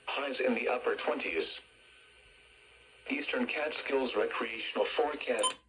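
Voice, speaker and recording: A man's synthetic voice reads a scripted forecast over weather radio. It is forceful and bright at a medium pitch, played through a radio speaker with some noise. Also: unhurried. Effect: radio broadcast. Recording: noisy radio speaker picked up in room.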